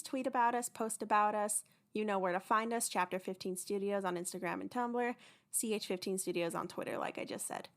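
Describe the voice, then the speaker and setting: A woman's voice: mid-range voice, fairly bright tone. A young adult woman giving a chatty, informal update to listeners as a podcast host. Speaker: host and producer of an audio drama podcast.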